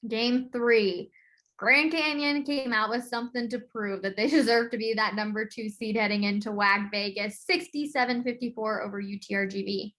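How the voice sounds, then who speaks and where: American female sports podcast host, slightly high voice, chatty game-by-game recap style. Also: steady tone, normal speed, a bit bright.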